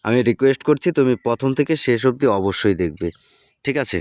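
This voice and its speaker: A man's voice: medium pitch, animated, fast, a little mellow, no echo. A man narrating an informal video tutorial, addressing viewers familiarly and often repeating himself and his catchphrases. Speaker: tutorial presenter.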